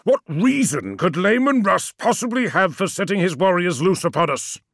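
A man's voice: on the high side, sing-song, normal speed, gravelly, fairly bright tone, no room sound, clean studio sound, full character voice. A man voicing a towering, wrathful demigod warlord with a fracturing mind, in imperious and anguished declamation for an audiobook. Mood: imperious fury.

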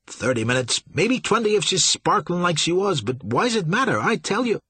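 A man voicing an excitable male steamboat pilot who protests loudly in folksy river talk. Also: high voice, moderate pace, husky, dry recording, voice pushed up, full character voice. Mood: indignant.

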